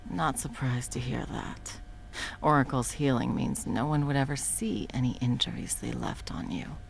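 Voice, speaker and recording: A woman voicing a scheming female supervillain who swears and often drops to a soft whisper, in a one-sided audio roleplay. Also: rumbling low, gravelly, slight background noise, exaggerated voice. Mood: scornful, scheming.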